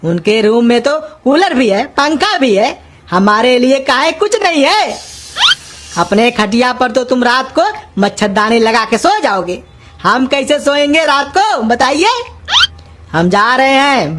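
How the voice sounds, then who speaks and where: A woman's voice: fairly high pitch, very animated, a little slow, noisy background. A woman voicing a silly, half-deaf young male cartoon helper, whiny and naive. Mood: whiny complaining. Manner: whiny, naive, loudly querulous. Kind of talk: cartoon comedy dialogue in rustic Hindi.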